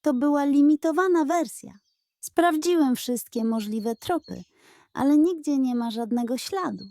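A woman voicing an earnest, excitable young male pig detective in a children's story. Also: high voice, unhurried, dry recording, studio quality, voice pushed up. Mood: earnest, worried. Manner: hesitant sighs turning to eager exclamations.

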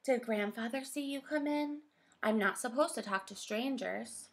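A woman voicing a little boy in a storybook, speaking in a higher, childlike way. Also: moderate pace, fairly bright tone. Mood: puzzled. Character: little boy, stubborn then upset.

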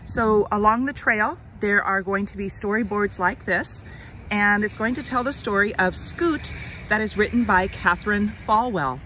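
A woman hosting an outdoor read-aloud for children, speaking to them with deliberate, expressive enunciation. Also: mid-range voice, rough recording. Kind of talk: children's story read-aloud and friendly outdoor talk to kids. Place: outdoors on a grassy trail. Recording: outdoor ambient noise.

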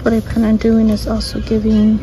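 A woman filming herself shopping on her phone, narrating finds in a hushed, half-whispered voice as she walks the aisles. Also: fairly high pitch, completely flat delivery, a little fast, background noise. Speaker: shopping vlogger. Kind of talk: casual shop-along narration. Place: inside a discount store aisle. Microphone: phone held in hand.

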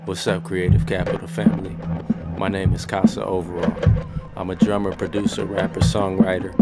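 A man narrates a musician's self-introduction in a deep voice with very level intonation. Loud music with drums plays behind him throughout. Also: level tone, fairly quick. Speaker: drummer, producer, rapper and songwriter.

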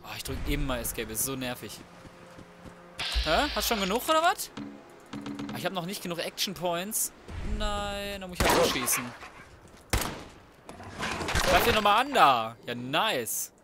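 A man's voice: high voice, very animated, measured pace, pauses often, noisy background. A man giving casual, slangy and crude commentary on a video game, talking to himself as he plays. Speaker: let's play gamer.

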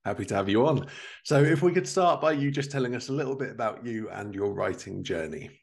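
A man's voice: mid-range voice, lively intonation, brisk, creaky. A man in his thirties presenting a podcast in an upbeat, enthusiastic, scripted style. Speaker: podcast host. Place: living room at home.

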